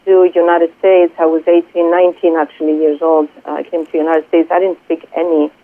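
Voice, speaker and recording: A woman from Greece with a Greek accent, a spiritual coach speaking earnestly and haltingly as a guest on a radio interview. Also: low-pitched, flat delivery. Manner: earnest, halting, many pauses and fillers. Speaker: spiritual coach and Reiki healer. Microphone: telephone. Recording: tinny phone line, dry.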